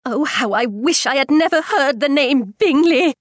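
A woman voicing an excitable, fretful middle-aged mother in an audiobook, high and gushing. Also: normal speed, no room sound, clean studio sound. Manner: shrill, gushing, scolding.

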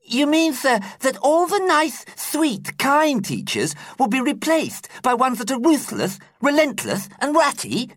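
A man voicing a nervous, earnest little schoolgirl in a high, anxious voice for a storybook reading. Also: wildly swooping pitch, unhurried, hardly any pauses, a bit bright, dry recording, studio quality, heavily acted. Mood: pleading. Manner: anxious, questioning.

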